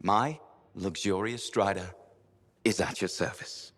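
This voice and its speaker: A man's voice: deep voice, takes it slowly, a bit bright, full character voice. A man voicing a fussy, pompous male noble who loves gossip, in a video game cutscene.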